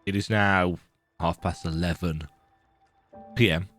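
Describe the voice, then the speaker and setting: A man with a low, croaky voice talks through a video game in a chatty, rambling way. He pokes fun at himself as he goes. Faint music plays behind him. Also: fairly even intonation, takes it slowly, a bit bright. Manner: chatty, self-deprecating, rambling. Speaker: let's play gamer. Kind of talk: casual gameplay commentary.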